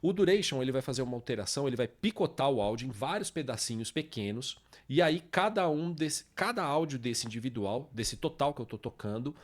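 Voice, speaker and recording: A man's voice: medium pitch, animated, brisk, few pauses. A man with a Brazilian accent hosting an informal music production tutorial, explaining step by step and often checking understanding with short tag questions. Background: guitar samples and music played from software.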